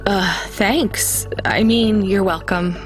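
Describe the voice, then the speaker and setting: An actress playing a sceptical, independent young delivery driver in a video game, matter-of-fact and guarded. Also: female voice, mid-range voice, takes it slowly, soft background music, close to an everyday voice, low-quality recording. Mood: guarded, polite. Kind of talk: naturalistic video game dialogue.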